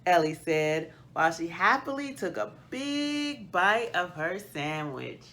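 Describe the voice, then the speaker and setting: A middle-aged woman hosting a children's read-aloud, reading storybook style with deliberate, expressive phrasing. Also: fairly low pitch, a little hiss or hum. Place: small plain indoor room.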